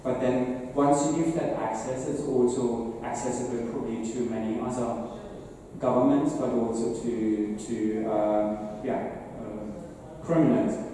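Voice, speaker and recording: A male speaker with a German accent presents informally from some distance in a strongly reverberant room. His voice is soft-edged and dark, and he feels his way through sentences haltingly, often repeating words. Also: mid-range voice, steady tone. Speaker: conference speaker. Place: indoor meetup presentation room with seated audience. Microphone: distant camera at back of room. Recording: roomy echoing hall, distant mic, faint noise.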